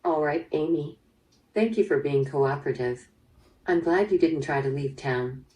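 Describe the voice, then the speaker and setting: Female police interrogator, played by an actress: low, dark voice, businesslike and probing, radio-drama style, a bit off-mic. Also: moderate pace, lightly acted. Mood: probing, measured. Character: probing female police detective questioning a murder suspect. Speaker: voice actor in interactive audio drama. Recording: played through speaker, slightly distant.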